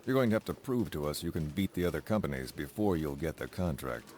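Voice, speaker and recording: A gruff army major in a game, with a very low voice. He is skeptical and demanding, with faint outdoor ambience behind him. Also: animated, fast, darkish tone, slight background noise. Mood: stern. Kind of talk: video game cutscene acting.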